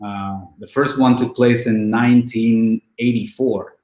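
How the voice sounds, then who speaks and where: A man's voice: medium pitch, fairly even intonation, unhurried, a little mellow. A man in his thirties from Romania, an event organizer, talking in a casual online interview with many fillers and restarts. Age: 30s. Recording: echoey room.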